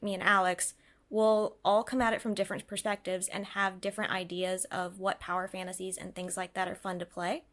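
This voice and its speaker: A woman game designer on a podcast panel, explaining things casually in a thoughtful, analytical way. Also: mid-range voice.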